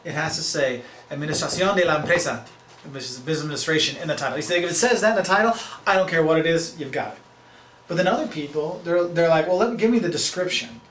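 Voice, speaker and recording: A man's voice: a little high, fast, fairly bright tone, reverberant, a little hiss or hum, low-quality recording. A man in his thirties, a marketing professor and travel video host, talking casually to camera in a rambling, chatty way. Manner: rambling, chatty, full of fillers and restarts.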